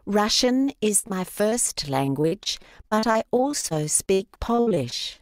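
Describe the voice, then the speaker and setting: A woman reading a line of dialogue slowly and clearly on a textbook recording. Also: medium pitch, very animated, no echo, clean studio sound. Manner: clear, deliberate delivery. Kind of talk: slow scripted textbook audio.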